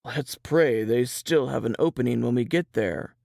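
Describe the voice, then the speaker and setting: A man playing a protective, plain-spoken Southern piano player in a dramatized audiobook, with folksy period dialect and a creaky voice. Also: medium pitch, normal speed, darkish tone, no echo, clean studio sound, close to an everyday voice. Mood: practical, reassuring.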